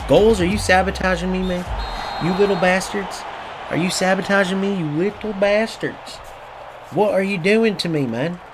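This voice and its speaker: A man ranting through live football gameplay, swearing at lucky opponents and cheering goals. His voice sits slightly high for a man. A clear layer of noise hums behind him. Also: moderate pace. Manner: profane, ranting trash-talk. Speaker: game streamer.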